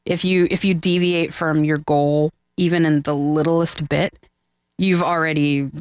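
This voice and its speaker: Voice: medium pitch, little pitch movement, measured pace, clean tone, no echo, clean studio sound. A chatty, friendly filmmaker guest, talking casually in an interview. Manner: chatty, friendly, laughs easily.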